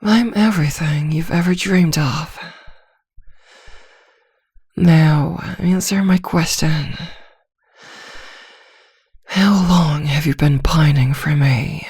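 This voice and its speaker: A woman playing an arrogant, seductive vampire villainess in an intimate ASMR roleplay, soft-voiced and teasing, with a commanding, hypnotic manner and frequent drops toward a whisper. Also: low-pitched, extremely slow, long pauses, husky, no echo, clean studio sound, heavily acted. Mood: amused, gloating. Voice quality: soft, often dropping into whispers.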